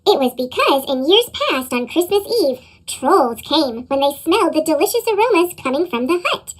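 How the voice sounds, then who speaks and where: Squeaky chipmunk-effect woman storyteller. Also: big pitch swings, normal speed, rough recording. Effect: sped-up chipmunk pitch effect. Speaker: storybook reader. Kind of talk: children's read-aloud narration.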